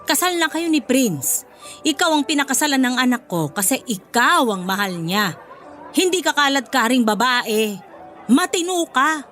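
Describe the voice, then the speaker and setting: Casting: a blunt, domineering Filipino mother-in-law, slightly high voice, radio drama over music. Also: animated, moderate pace, fairly bright tone. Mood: reassuring. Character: protective, scornful middle-aged mother-in-law, bossy and blunt. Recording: music bed behind the voice.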